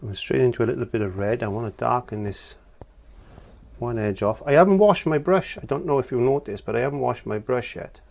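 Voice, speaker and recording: A middle-aged man from Wales with a croaky voice from laryngitis, talking plainly step by step as he teaches acrylic painting. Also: mid-range voice, brisk, a little mellow. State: hoarse from laryngitis. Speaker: art teacher. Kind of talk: painting tutorial.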